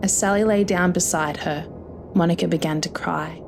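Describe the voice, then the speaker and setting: A woman's voice: on the low side, fairly even intonation, normal speed, creaky, noisy background. A woman reading a scripted story as its narrator.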